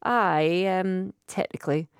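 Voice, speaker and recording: Brief: female radio host, bubbly with callers, crisp on headlines, lowish voice, dry studio mic. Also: slow, dry recording. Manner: bubbly and chatty with callers, then crisp news read. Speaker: radio presenter. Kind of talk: live radio phone-in and news reading. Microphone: studio microphone.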